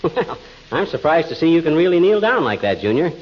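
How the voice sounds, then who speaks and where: An actor playing a boastful, slangy, folksy husband who fancies himself a magician in an old radio comedy. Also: male voice, fairly high pitch, big pitch swings, fairly quick. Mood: grumbling, sarcastic. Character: blustery, boastful husband and bungling amateur magician. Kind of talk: 1940s radio comedy acting. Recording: muffled, noisy old radio recording.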